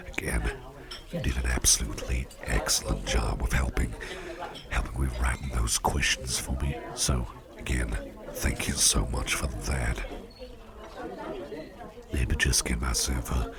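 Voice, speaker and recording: A man playing a suave, doting devilish businessman boss in a soft-spoken ASMR roleplay, murmuring with courtly, unhurried gratitude and slipping into gravelly whispers. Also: rumbling low, exaggerated voice. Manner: courtly, unhurried, repeats phrases appreciatively. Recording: party crowd murmur behind voice. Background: crowd chatter.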